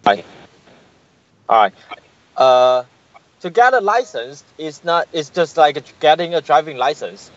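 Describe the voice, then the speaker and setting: A man answering interview questions over a call, halting and searching for words, often repeating himself. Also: fairly high pitch, animated, muffled recording. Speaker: guest, tour business manager.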